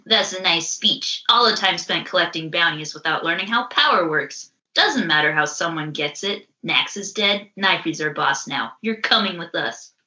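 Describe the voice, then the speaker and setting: A taunting comic-book henchman, voiced by a woman, cold-bloodedly mocking. The delivery is bright and varied in pitch, on slightly lo-fi audio. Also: expressive, normal speed, reverberant. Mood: menacing. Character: taunting, ruthless henchman sent to capture fugitives.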